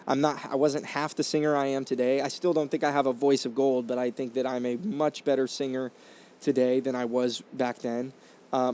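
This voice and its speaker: A man's voice: mid-range voice, warm tone. Earnest young man telling his story. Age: 20s. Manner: earnest, reflective, many fillers and restarts. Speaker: podcast host. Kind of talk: casual storytelling monologue. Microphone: condenser microphone.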